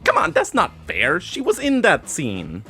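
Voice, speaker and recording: A man's voice: fairly high pitch, huge pitch swings, measured pace, music in the background. A man trading playful, competitive banter as a game show contestant, teasing and mock indignant. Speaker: writer, trivia contestant.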